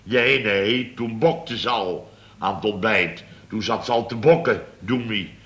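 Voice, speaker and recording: An actor playing a blustering, muddled boss of a building firm in a radio comedy, rambling and repeating himself. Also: male voice, on the low side, measured pace, fairly bright tone. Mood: grumbling. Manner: rambling, repetitive, tags phrases with "hè". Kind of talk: 1970s Dutch radio comedy acting.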